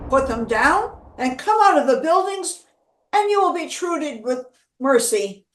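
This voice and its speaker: A woman playing a stern Nazi officer, making deliberate, commanding proclamations of surrender terms on stage. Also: high-pitched, very animated, reverberant, background noise. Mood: menacing. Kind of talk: theatrical proclamation.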